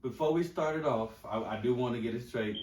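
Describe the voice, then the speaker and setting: A man's voice: medium pitch, a little fast, a little mellow, reverberant, far from the mic, slight background noise. A man from Chicago hosting a casual podcast, loose and hyped, swearing freely. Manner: loose, hype, swears a lot. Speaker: podcast host. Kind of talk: casual chat.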